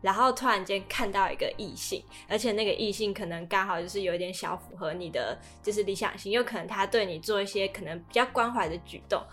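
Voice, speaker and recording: A woman's voice: mid-range voice, fast, hardly any pauses, fairly bright tone. A young woman with a Taiwanese accent co-hosts a casual podcast. She has a mid-range voice and talks informally, with faint music behind her. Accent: Taiwanese Mandarin. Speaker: podcast co-host. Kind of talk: casual chat.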